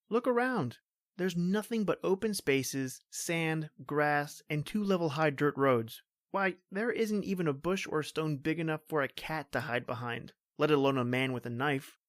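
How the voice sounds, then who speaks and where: A woman's voice: low-pitched, no room sound, studio quality, natural voice. A woman playing a stoic, protective male police officer in an old-time radio horror drama, brusque and melodramatic.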